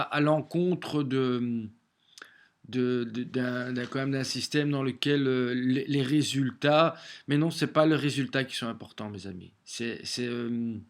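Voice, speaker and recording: A man with a cold, talking alone to his camera at home in a halting way, with many repeated words and restarts. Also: mid-range voice, fairly even intonation. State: has a cold. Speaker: vlogger sharing daily messages. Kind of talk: casual home video monologue. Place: small room at home, facing a window.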